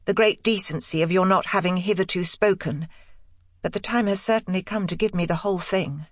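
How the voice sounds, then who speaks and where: A woman's voice: lowish voice, fairly quick, no echo, studio quality, lightly acted. A woman voicing an intense, determined young governess in an audiobook, speaking in emphatic, broken phrases with urgent insistence. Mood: pressing. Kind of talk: audiobook character dialogue.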